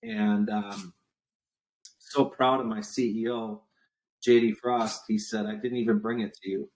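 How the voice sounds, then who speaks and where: A man's voice: fairly low pitch, level tone, unhurried, darkish tone, a little hiss or hum, rough recording. A man in his forties talking casually as an interview guest, emphatic and repeating his points for effect, with an occasional cough. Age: 40s. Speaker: podcast guest, entrepreneur.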